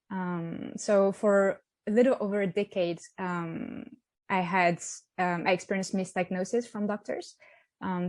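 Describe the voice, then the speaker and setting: A woman's voice: lowish voice, a little mellow, no room sound. Guest, French woman, 30s, hesitant storyteller, dry recording. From south of France. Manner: hesitant, many ums and restarts. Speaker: guest, app founder. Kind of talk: interview conversation. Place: outdoors by the sea.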